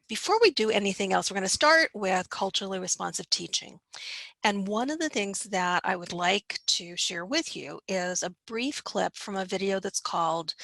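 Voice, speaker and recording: A woman with a raspy, hoarse voice, giving a friendly training talk with many ums and restarts on a small video camera. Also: mid-range voice, a bit bright, dry recording, rough recording. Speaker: early childhood educator giving a webinar. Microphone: portable video camera.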